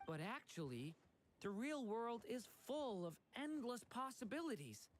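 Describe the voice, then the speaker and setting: A woman's voice: fairly high pitch, sing-song, a little slow. A woman voicing an earnest, hopeful young dragon boy in a video game. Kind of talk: video game story voice acting.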